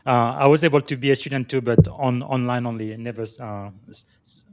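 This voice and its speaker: A man who grew up in the Congo hosting a podcast interview, talking with frequent filler pauses and enthusiastic introductions. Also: mid-range voice, steady tone, darkish tone, dry recording. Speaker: podcast host.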